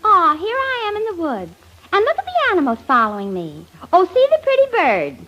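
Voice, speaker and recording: Naive young princess: very high, lilting, gushing and plaintive, muffled old radio with music. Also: female voice, huge pitch swings, brisk, exaggerated voice. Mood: wide-eyed. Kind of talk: 1930s radio comedy parody acting. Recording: muffled 1930s radio broadcast over music.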